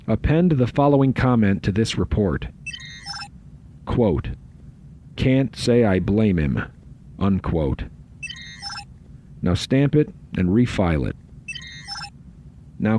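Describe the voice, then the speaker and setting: An actor playing a busy, wry starship first officer in a science fiction audio drama, dry and authoritative. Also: male voice, low-pitched, warm tone, heavily acted. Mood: wry, brisk. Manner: dry, sardonic, muttering to himself. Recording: dull lo-fi sound with faint noise.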